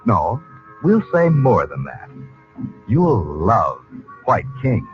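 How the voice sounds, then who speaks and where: A male radio announcer delivering a folksy, persuasive advertising pitch in an old-time style. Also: wildly swooping pitch, takes it slowly. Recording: dull vintage radio recording, music.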